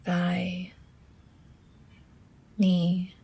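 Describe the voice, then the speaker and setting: A woman guiding a relaxation meditation as a yoga teacher, in a hushed, breathy near-whisper, gently and with long pauses between phrases. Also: on the low side, monotone, slight background noise.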